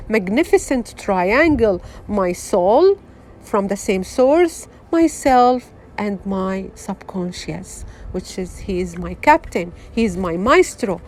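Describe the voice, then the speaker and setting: A middle-aged woman from Syria with a Syrian Arabic accent, giving an unscripted motivational talk, earnest and halting, full of fillers and restarts. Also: on the high side, darkish tone. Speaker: wellness vlogger.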